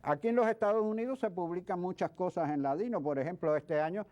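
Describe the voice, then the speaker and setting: A man speaking as a guest expert in an interview, explaining things in a halting way with repeated words. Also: high-pitched, warm tone. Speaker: guest expert, history professor. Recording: dull lo-fi sound.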